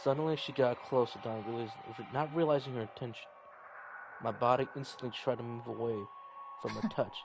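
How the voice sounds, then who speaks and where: A man's voice: mid-range voice, fairly even intonation, a little fast, creaky, noisy background. A man reading a visual novel game aloud in a dramatic way, with offhand reactions of his own. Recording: game music behind the voice. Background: game music.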